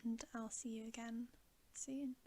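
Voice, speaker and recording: A woman reading a bedtime story in ASMR style, soft, hushed and often whispery, in a soothing, measured manner. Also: fairly high pitch, little pitch movement. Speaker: ASMR storyteller, channel host.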